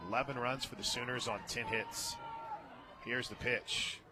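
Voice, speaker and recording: An American man giving live radio play-by-play of a softball game, conversational, with clipped calls of each play. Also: medium pitch, fairly even intonation, unhurried, music in the background, a crowd in the background. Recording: very noisy outdoor ballpark booth.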